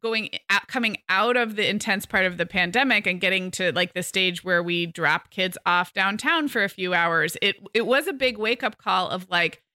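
Woman host thinking out loud, really bright tone, dry mic. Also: medium pitch, normal speed, hardly any pauses, no echo. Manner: friendly, reflective, thinks aloud. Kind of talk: casual conversation.

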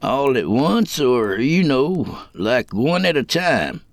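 A man performs an old country jailer in an audio tale. He is calm, sly and confiding, using folksy turns of phrase. His voice has a gravelly fry and lively rises and falls. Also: medium pitch, no echo, pitched above the performer's own voice. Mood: probing. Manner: folksy, unhurried rural speech, conspiratorial.

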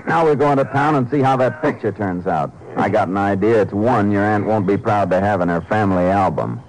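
An actor playing a steady, wry Texas Ranger lawman in a 1950s radio western. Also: fairly low pitch, brisk, close to an everyday voice, rough recording. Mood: wry, commanding. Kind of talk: 1950s radio drama acting. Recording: vintage radio recording, faint noise.

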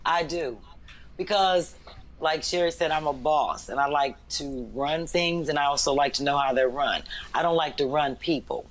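A woman comedian and booker with a deep, raspy voice, telling stories bluntly in casual podcast chat and acting out the quotes. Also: moderate pace, rough recording. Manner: blunt, animated storytelling with acted-out quotes.

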